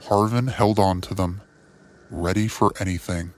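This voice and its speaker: A man narrating a scripted dramatic story in a measured, solemn manner. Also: low voice. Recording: music under the voice. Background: music bed.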